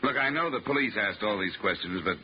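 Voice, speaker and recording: An actor playing a wry, dogged insurance investigator in 1950s American radio style, speaking in a clipped, dry, hardboiled way. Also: male voice, on the low side, expressive, fairly quick, slight background noise, natural voice. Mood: politely probing. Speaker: lead actor and narrator of a radio detective show. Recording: muffled vintage radio recording, music.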